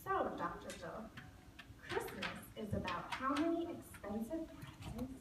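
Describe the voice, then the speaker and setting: A woman acts out a rich, self-important puppet lady with a fairly high voice. She declaims boastfully for a stage show. The sound is distant and echoey, with clear background noise. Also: big pitch swings, unhurried. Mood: smug, boastful. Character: vain, boastful rich woman who loves expensive gifts. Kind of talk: church puppet show acting. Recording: echoey hall, far mic, noisy.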